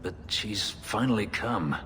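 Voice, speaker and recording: A man's voice: on the low side, lively intonation, unhurried, fairly bright tone, long reverb tail, slight background noise. An actor playing a bitter, brooding male elf warrior and former slave, speaking in a grave, terse, restrained way. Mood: worried.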